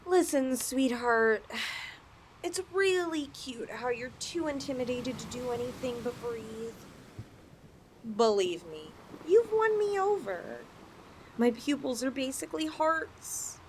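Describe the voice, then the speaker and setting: High, lilting, possessive snake-woman played by an actress. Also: lively intonation, slow, a little hiss or hum. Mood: pleading, affectionate. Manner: coaxing, sweetly condescending, teasing. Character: possessive, flirtatious snake-woman lamia seeking a mate. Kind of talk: roleplay ASMR acting.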